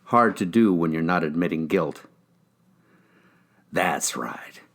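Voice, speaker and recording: A man with a low voice narrates a crime novel in the first person. It is a scripted audiobook reading by an actor. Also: moderate pace.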